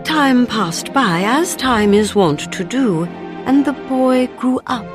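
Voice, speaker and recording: Slow, animated woman reading a storybook. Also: fairly high pitch, over music. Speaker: storybook narrator. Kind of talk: expressive scripted children's story reading.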